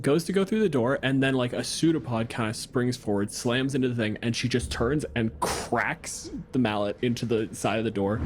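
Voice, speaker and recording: A man's voice: mid-range voice, background noise. A man game master improvising tabletop narration in a casual, sweary way. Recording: music behind the voice. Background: music.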